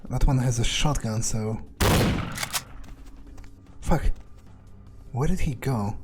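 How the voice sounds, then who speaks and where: A man playing a video game in character, thinking aloud in halting, musing, sweary commentary. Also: medium pitch. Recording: game music behind the voice.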